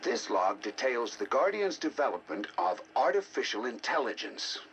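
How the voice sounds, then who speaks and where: A learned male researcher narrating a video game audio log. His mid-pitched voice rises and falls expressively as he muses over ancient data with deliberate, theatrical fascination. A faint layer of noise sits behind him. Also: big pitch swings, fairly bright tone. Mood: grave, analytical. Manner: deliberate, theatrical, musing academic delivery. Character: scholarly male scientist, intrigued by ancient alien data. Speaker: researcher narrating decoded alien history logs.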